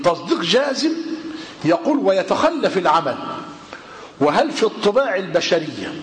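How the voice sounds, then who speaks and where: Older egyptian preacher, voice bounces up and down a lot, long hall echo, faint hiss behind. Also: male voice, a little high. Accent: Egyptian Arabic. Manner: lively rhetorical questioning of the audience; slows down and lifts his voice when quoting classical texts. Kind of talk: religious lesson. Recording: reverberant hall with faint noise.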